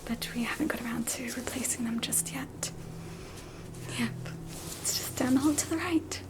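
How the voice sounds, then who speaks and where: An Australian woman plays a spooky hospital doctor with an Australian accent, whispering softly in a high, breathy voice. The manner is polite, unhurried and reassuring, in the style of an ASMR roleplay. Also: close to an everyday voice. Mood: reassuring, calm.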